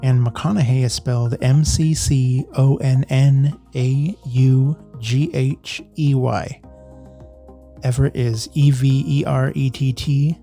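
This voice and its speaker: A man hosts a casual solo podcast in a mellow voice of medium pitch. He speaks reflectively, in broken-up phrases, with light music behind him. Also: fairly even intonation, slow pace. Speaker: podcast host.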